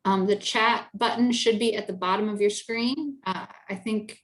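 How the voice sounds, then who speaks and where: A woman's voice: mid-range voice, fairly even intonation, moderate pace, poor audio. A woman moderating an informal online panel discussion, chatting casually with many fillers and restarts over a video call. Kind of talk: casual virtual panel chat.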